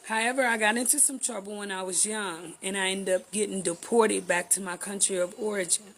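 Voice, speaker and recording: A woman's voice: medium pitch, steady tone, brisk, slight background noise. A woman in her thirties from Haiti, raised in the US, earnestly introducing her channel to viewers. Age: 30s. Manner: earnest, direct address to viewers. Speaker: YouTuber.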